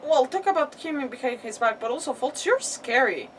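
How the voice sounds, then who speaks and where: A woman with a slightly high voice chats casually as she streams a video game. She rambles, halts and hunts for words. She sounds a little away from the mic, with faint noise behind her. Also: lively intonation, recorded from a distance. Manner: rambling, halting, word-searching. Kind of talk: casual gaming commentary. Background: video game audio.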